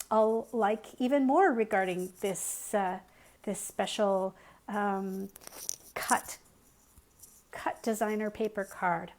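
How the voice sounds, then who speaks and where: A woman's voice: a little high, a little mellow. Chatty woman live-streaming a tutorial. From Canada. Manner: chatty and friendly, frequent ums and restarts. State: slightly losing her voice. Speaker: crafting demonstrator hosting a live tutorial.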